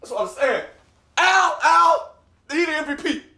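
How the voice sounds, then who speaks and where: A man acting in a comedy sketch as basketball players in a locker room, loud and teasing, shouting between laughs. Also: very high-pitched, lively intonation, measured pace, creaky, heavily acted. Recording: echoey room clip.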